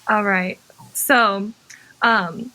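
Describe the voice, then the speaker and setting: Bright-voiced woman podcast host. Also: mid-range voice, fairly even intonation, drawn-out words, poor audio. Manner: encouraging, affirming interviewer. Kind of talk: casual interview chat.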